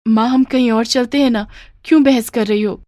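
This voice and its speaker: A woman's voice: a little high, steady tone, moderate pace, warm tone, no room sound, studio quality, close to an everyday voice. Woman voicing a sensible, hopeful young daughter. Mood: reassuring. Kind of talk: Hindi animated moral story dialogue.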